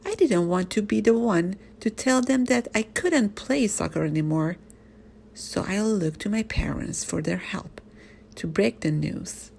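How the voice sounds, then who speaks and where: A woman reading a first-person children's story aloud in an expressive, animated storytelling manner. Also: medium pitch, measured pace, creaky, slight background noise.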